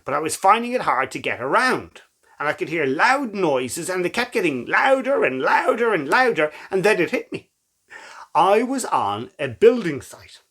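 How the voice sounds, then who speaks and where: A man's voice: high-pitched, big pitch swings, moderate pace, sharp tone, exaggerated voice. A man playing a brave, affectionate young woman who is blind, in a dramatic, tender storybook reading. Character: brave, affectionate blind girl, college student.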